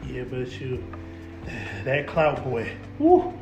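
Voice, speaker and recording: A man's voice: medium pitch, huge pitch swings, takes it slowly, roomy sound, music in the background. A young man hosting a casual car podcast, talking in easy slang, repeating words and laughing often. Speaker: podcast host, local car photographer. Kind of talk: casual chat.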